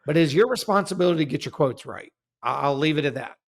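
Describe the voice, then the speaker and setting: A man's voice: a little high, lively intonation, fast talker. A man as a guest researcher in a casual scholarly discussion, careful and self-correcting, explaining his findings at length.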